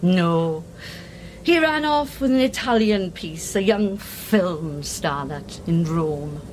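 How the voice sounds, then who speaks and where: A woman's voice: lowish voice, animated, takes it slowly, slight background noise. An actress playing a brash, proud, faded singing star in her late sixties, reminiscing theatrically and earthily in a radio play. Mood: rueful. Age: older.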